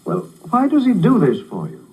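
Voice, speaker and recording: An actor playing a scornful, exasperated art critic in a 1950s radio drama, with emphatic, disdainful delivery. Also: male voice, high voice, huge pitch swings, a little mellow, slightly rough, full character voice, rough recording. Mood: skeptical. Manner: emphatic, disdainful, drawn-out words.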